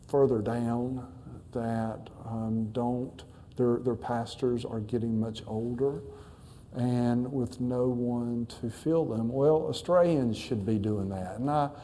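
Dark-voiced missionary pastor. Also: male voice, mid-range voice, slight background noise. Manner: folksy, halting with many fillers. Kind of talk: church talk to a congregation. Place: church.

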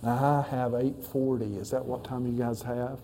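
Casting: middle-aged man, pastor; very dark, mellow tone, folksy, halting delivery, faint hum behind. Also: medium pitch, little pitch movement. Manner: folksy, halting with many fillers. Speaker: missionary pastor. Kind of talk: church talk to a congregation. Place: church.

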